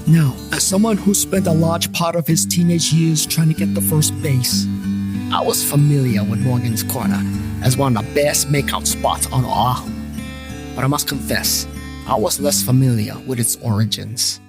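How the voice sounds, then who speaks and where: A man's voice: mid-range voice, normal speed, close to an everyday voice. Male lead, actor as paranormal gumshoe: mid-range, wry scripted narration, colloquial and self-deprecating, light guitar underneath. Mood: wry, storytelling. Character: wisecracking male private paranormal investigator and ex-cop. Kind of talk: scripted first-person noir-comedy narration. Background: guitar music.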